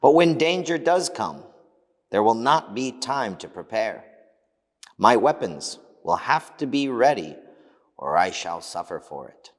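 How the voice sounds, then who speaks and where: A man's voice: mid-range voice, sing-song, unhurried. A man voicing a prudent, serious wild boar in a storybook, firm and matter-of-fact. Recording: long hall reverb.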